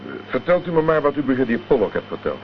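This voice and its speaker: A man's voice: medium pitch, sing-song, fast, close to an everyday voice. A man playing a polite, probing private detective in a Dutch radio drama. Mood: businesslike, polite. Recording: dull, noisy old radio recording.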